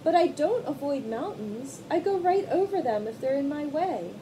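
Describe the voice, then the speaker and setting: A woman doing a squeaky pleading little mouse. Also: expressive, normal speed, mellow, slight background noise, poor audio. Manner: pleading, eager. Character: small brave squeaky male mouse.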